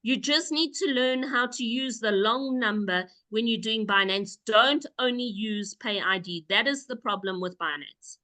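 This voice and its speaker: This woman has a high, bright voice and leads a group call. She explains patiently and leans on emphatic repetition to drive her points home. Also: unhurried, hardly any pauses. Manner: emphatic, repeats key points. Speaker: call host. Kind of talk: call presentation, explaining step by step.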